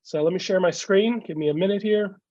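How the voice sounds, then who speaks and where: A man with a cold and a gravelly voice giving a casual slideshow talk as a guest speaker, halting with many fillers, over a video call. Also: sits high, darkish tone, no echo. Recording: dull lo-fi video call audio.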